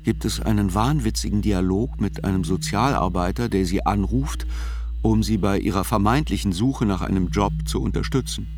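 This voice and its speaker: A man narrates a radio play from a literary script. His voice is on the low side. Soft music sits underneath. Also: moderate pace.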